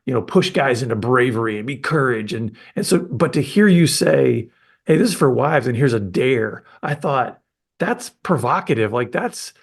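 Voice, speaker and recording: A man's voice: mid-range voice, animated, normal speed, creaky, slightly rough. A man hosting a podcast, chatting with his guest in an enthusiastic, rambling way with frequent restarts. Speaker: podcast host. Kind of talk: casual interview chat.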